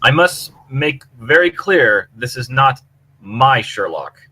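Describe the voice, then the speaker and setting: A man with a bright, forceful voice chats as a podcast panelist. His pitch moves around in a lively way over a middling base. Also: takes it slowly, husky. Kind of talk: casual chat.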